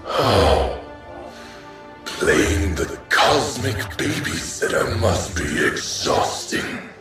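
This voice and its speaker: Slow, disdainful godlike being, echoing. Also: male voice, fairly low pitch, big pitch swings, full character voice. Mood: mocking. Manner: slow, sneering, contemptuous. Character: weary, disdainful ancient godlike male being. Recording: echoing, distant, music behind.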